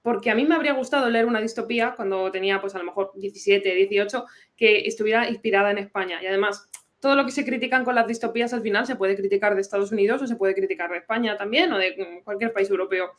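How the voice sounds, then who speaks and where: A woman from Madrid, a historian and novelist, talking about her work in a relaxed, chatty way as a podcast guest. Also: mid-range voice, quick pace. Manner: relaxed, chatty, laughs easily. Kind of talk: casual interview.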